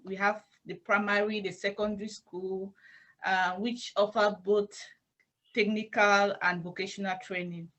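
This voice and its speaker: A woman with a Cameroonian accent answering interview questions as a church intern, in formal, halting, measured phrases. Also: medium pitch, a bit bright, low-quality recording.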